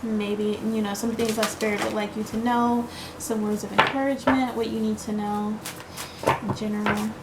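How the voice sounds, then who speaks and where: A woman's voice: mid-range voice, little pitch movement, moderate pace, heavy background noise. A woman giving a casual tarot card reading to her viewers. She talks in a reassuring, chatty way, full of fillers and repeated "okay?" checks. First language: English.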